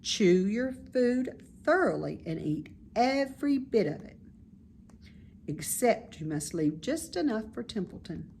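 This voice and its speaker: Woman acting out a calm female spider, slow-paced, motherly, reassuring, gently firm, storybook character. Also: on the high side, a little hiss or hum, pitched above the performer's own voice. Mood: motherly, instructive. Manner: measured, comforting, gently firm.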